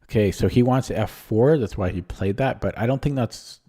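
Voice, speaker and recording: A man with a lowish, mellow voice that is a little strained, thinking aloud while he streams chess. He talks casually and hesitantly and keeps questioning his own moves. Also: moderate pace, slightly rough. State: voice strained from talking. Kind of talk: casual live-stream commentary.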